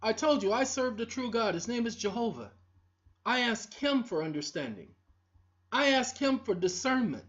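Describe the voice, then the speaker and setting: A woman's voice: on the high side, very animated, normal speed. A woman hosting her own videos, lecturing her viewers in a preachy, scolding and repetitive monologue. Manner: preachy, scolding, repetitive, talks straight to the audience. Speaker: online video host. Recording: dull lo-fi recording.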